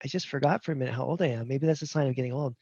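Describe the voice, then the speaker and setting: A man's voice: medium pitch, rapid-fire, creaky, darkish tone, no room sound, muffled recording. A man in his fifties giving a casual, rambling webinar talk as a functional medicine instructor, a little self-deprecating, over a video call. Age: 50s.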